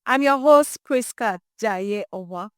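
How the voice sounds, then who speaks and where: A Nigerian woman presenting as a podcast host, introducing an interview in an expressive, emphatic way. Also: a little high, unhurried, dry recording, studio quality. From Imo State, Nigeria.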